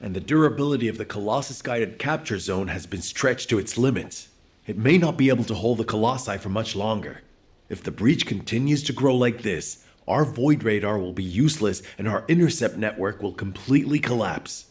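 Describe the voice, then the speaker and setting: An actor playing a serious, weary executive officer in a video game, speaking with terse authority. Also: male voice, lowish voice, expressive, fairly quick, close to an everyday voice. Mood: weary, worried. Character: grave, weary military executive officer under pressure. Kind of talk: video game dramatic acting.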